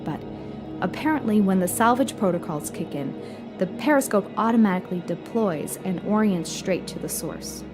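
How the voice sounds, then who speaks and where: A woman playing a matter-of-fact space freighter captain, giving a measured official report in a scripted audio drama. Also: medium pitch, lively intonation, music in the background, lightly acted. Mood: wondering, recounting. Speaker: narrator of a fiction podcast. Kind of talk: scripted first-person audio drama narration.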